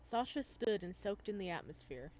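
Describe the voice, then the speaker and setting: Woman, gaming host, casual commentary, reads story text aloud, playful and excitable reactions. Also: mid-range voice, normal speed, slight background noise. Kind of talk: casual let's-play commentary, reading game text aloud.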